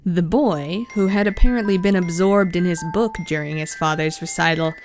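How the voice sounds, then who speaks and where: A woman's voice: lowish voice, normal speed, soft background music. A woman reading scripted storybook narration as the narrator of an audiobook.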